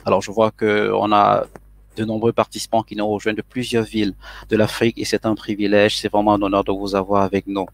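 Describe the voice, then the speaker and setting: A man's voice: lowish voice, monotone, fairly quick, no echo. A man moderating a live online panel in a formal, hesitant way with many fillers. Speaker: webinar moderator.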